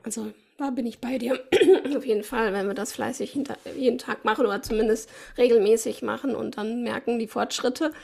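A woman's voice: on the high side, steady tone, fairly quick, creaky, hardly any pauses, darkish tone, slightly rough. A woman hosting a podcast, asking friendly, encouraging questions in casual conversation. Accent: German. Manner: friendly, encouraging, laughs often. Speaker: podcast host. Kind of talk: casual interview talk.

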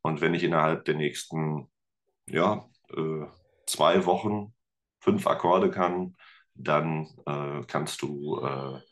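A man with an extremely low-pitched voice speaks as a guest in a relaxed interview. He talks in a conversational, rambling way, working out his thoughts as he says them. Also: takes it slowly. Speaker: guest, teacher and musician. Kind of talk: casual interview chat.

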